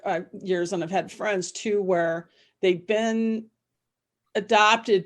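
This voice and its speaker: Middle-aged female podcast guest, author type, conversational and animated, loops back on phrases, mid-range voice. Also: mid-range voice, gravelly. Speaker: podcast guest, novelist and former psychologist. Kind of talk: casual interview chat.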